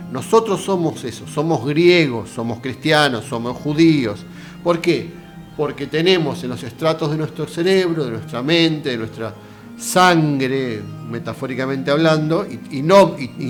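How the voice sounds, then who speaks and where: Argentine man lecturing on philosophy. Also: medium pitch. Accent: Argentine Spanish. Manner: conversational, addresses viewer directly with rhetorical questions. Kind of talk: casual lecture.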